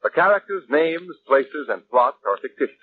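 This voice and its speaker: A man's voice: fairly high pitch, lively intonation, a little hiss or hum. Formal male announcer, tinny vintage radio. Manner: formal, measured. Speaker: programme announcer. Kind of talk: scripted 1940s radio announcing. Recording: tinny old radio recording. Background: faint music.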